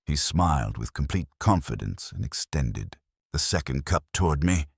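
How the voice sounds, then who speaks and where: A man with an extremely low voice narrates a first-person drama in measured phrases. His pitch moves in lively swings, and the sound is dry and close. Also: gravelly, breathy edge, no room sound.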